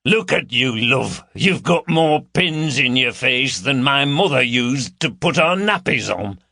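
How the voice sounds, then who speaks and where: Male reader as hulking intruder, jeering, lewd, blunt, lively pitch. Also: measured pace, gravelly, no echo, studio quality, full character voice. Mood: mocking. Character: huge, bearded, jeering, crude male intruder.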